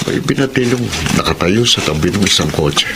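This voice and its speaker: A man's voice: low voice, expressive, brisk. An actor playing a middle-aged, poor but hopeful janitor father in a radio drama, easygoing and reassuring. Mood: matter-of-fact. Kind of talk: Filipino radio drama acting. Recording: loud background noise.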